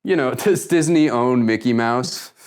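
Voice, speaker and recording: A man being interviewed as an animation writer, answering in a deadpan, evasive and muddled way in a comic interview. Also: medium pitch, unhurried, clean tone. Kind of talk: deadpan improvised comedy interview.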